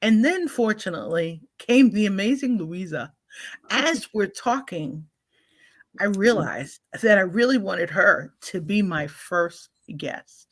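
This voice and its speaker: A woman's voice: medium pitch, animated, slow pace. A woman hosting a live online talk show, greeting viewers and introducing her guest with effusive enthusiasm. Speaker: host.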